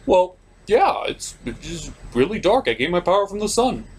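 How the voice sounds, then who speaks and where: A man's voice: high voice, sing-song, normal speed, slight background noise, voice pushed up. A man voicing a whiny, insecure superhero puppet who pleads and sobs like a needy child in a comedy puppet show. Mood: defensive, whiny. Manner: pleading, sobbing.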